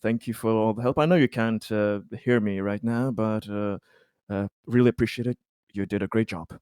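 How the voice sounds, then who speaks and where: A man's voice: fairly low pitch, normal speed, a little mellow, smooth, dry recording. Man playing tabletop game, dry close sound. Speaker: player. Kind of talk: casual tabletop roleplay, narrating his character in third person.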